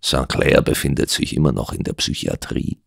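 A man's voice: a bass voice, lively intonation, slow pace, creaky, dry recording, heavily acted. A man voicing a sceptical doctor, a detective's companion in an audiobook, with a dry, mocking manner. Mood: hushed.

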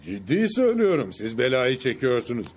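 Swooping, cocky cartoon flirt. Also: male voice, medium pitch, sing-song, a little fast. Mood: flirty, boastful. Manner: smug, smooth-talking. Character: cocky, flirtatious suitor convinced women adore him. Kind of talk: dubbed cartoon acting. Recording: noisy background ambience.

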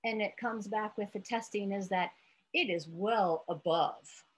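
An American woman in her late forties giving an informal, halting lesson with storytelling, thinking aloud as she explains. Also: medium pitch, slightly rough. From United States, Iowa. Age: late 40s. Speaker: therapist presenting an educational video letter.

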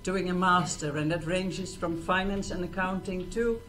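A woman's voice: on the low side, fairly even intonation, moderate pace. A middle-aged woman hosting an event, talking informally to an audience and putting questions to them. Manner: rhetorical questions to the listeners. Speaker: event host. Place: small stage in a presentation room. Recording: distant mic, clearly noisy room.

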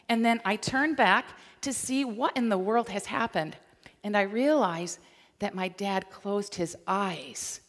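Middle-aged woman from michigan telling stories at chapel, lively, big echoey hall. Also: on the high side. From Michigan, USA. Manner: animated, gesturing while walking the stage. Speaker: chapel speaker. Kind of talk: storytelling chapel talk. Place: large dark auditorium stage. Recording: long hall reverb.

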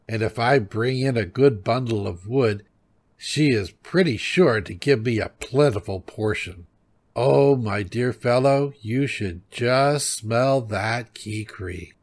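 A man playing a slow, crafty old woodman. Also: mid-range voice. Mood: confiding.

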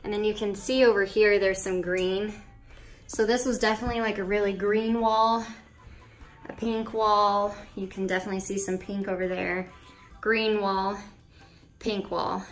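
A woman's voice: medium pitch, little pitch movement, normal speed, soft background music. An American woman in her twenties giving a chatty, informal walking tour for a vlog, thinking aloud as she talks in empty indoor rooms. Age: 20s. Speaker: vlogger giving a house tour. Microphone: handheld camera or phone at arm's length.

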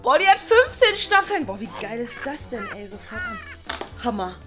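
A woman's voice: sits high, huge pitch swings, moderate pace. A young woman playing a series-addicted teenage slacker in a comedy sketch, slangy with exaggerated exclamations. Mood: amazed, thrilled. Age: young adult. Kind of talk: acted comedy sketch for online video. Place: living room, on a sofa. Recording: music behind the voice.

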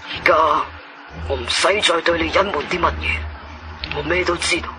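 An actor playing a secretive, intense scientist in a radio drama, speaking with a Guangzhou Cantonese accent in an emphatic, explanatory way. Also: male voice, on the high side, fairly even intonation, normal speed, background noise, natural voice. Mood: grave, revealing. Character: secretive, intense male scientist revealing his discovery. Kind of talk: dramatic radio play dialogue.

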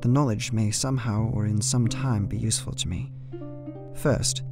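A man's voice: on the low side, natural voice. An actor playing an earnest, uneasy young English solicitor, narrating his journal formally in a radio play. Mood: earnest, guarded. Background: plucked guitar music.

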